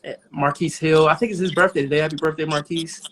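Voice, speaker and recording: A man in his thirties, a jazz trumpeter, talking as a guest in a casual interview in a relaxed, reflective way with many pauses. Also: a little high, a little hiss or hum. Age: 30s.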